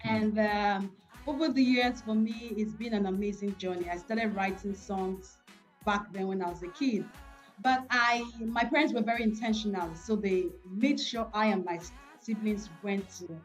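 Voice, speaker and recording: Gospel singer guest: Nigerian-accented woman, mid-pitched, earnest and devout, music underneath. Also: fairly even intonation, moderate pace. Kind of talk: personal interview talk.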